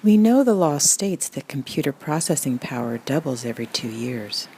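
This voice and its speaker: A woman narrating a scripted parody guided meditation in a calm, soothing, deliberate deadpan. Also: rumbling low, creaky, a little hiss or hum.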